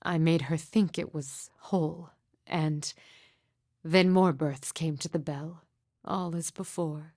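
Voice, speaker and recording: A woman playing a grieving, mystical midwife in a fantasy game, speaking in a solemn, formal, measured way with deliberate pauses. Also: low voice, steady tone, darkish tone, dry recording, clean studio sound, full character voice. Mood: sorrowful, haunted. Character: grieving mother, solemn sorrowful mystic midwife with haunted calm. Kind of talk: scripted fantasy game dialogue.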